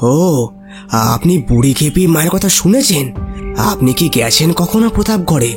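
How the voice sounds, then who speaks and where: A man's voice: a little high, sing-song, normal speed, over music. An actor playing a curious, respectful village man, speaking earnestly and deferentially in an audio horror story.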